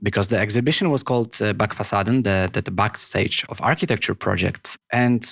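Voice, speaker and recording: A man with a Polish accent, hosting a podcast interview in a thoughtful, halting way. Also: on the low side, creaky, fairly bright tone, husky, dry recording, studio quality. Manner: thoughtful, halting, with repeated words. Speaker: podcast host. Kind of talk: casual interview conversation.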